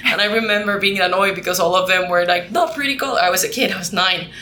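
Young woman from Puerto Rico, a Spanish speaker with a Puerto Rican accent; bright, lively, laughing, with a faint hiss behind her. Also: medium pitch. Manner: quick, laughing, with restarts. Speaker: guest, PhD candidate. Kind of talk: casual interview talk. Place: at a conference.

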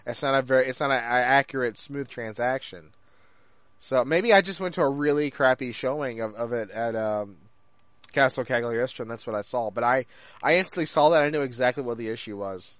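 A man's voice: medium pitch, a little fast, fairly bright tone, dry recording. A man co-hosting a podcast in casual chat about anime, rambling along and acting out things people said. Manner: rambling, acts out remembered remarks. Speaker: podcast co-host.

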